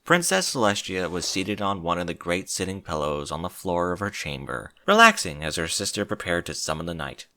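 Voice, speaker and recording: A man's voice: low voice, huge pitch swings, a little fast, hardly any pauses, sharp tone. A man narrating a scripted story expressively, as a reader of fan fiction.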